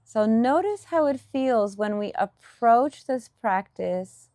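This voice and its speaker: A woman leading a guided meditation as a teacher, giving gentle instruction in short, unhurried phrases with pauses between them. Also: fairly high pitch, expressive, no room sound, clean studio sound.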